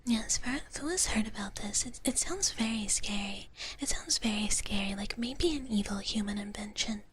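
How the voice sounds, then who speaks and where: A woman whispering softly and breathily in a cutesy ASMR roleplay as a cozy dragon big sister, chatting with viewers close to the mic. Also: medium pitch, moderate pace, slight background noise. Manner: cutesy, speaks of herself in the third person. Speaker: ASMR livestream host.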